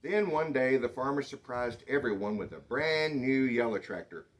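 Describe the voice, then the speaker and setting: A man reading a children's picture book aloud in an expressive, dramatic storytelling manner. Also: mid-range voice, normal speed. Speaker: storybook reader.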